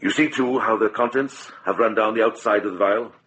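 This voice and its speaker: An actor playing a shrewd, compassionate middle-aged monk in a radio drama, speaking with grave, measured deliberation. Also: male voice, fairly low pitch, fairly bright tone, close to an everyday voice, low-quality recording. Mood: grave, accusing.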